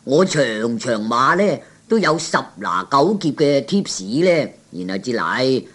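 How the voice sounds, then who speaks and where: A man's voice: medium pitch, big pitch swings, measured pace, a bit bright. A man playing a boastful older male racegoer with a self-important drawl in a 1960s Cantonese radio comedy.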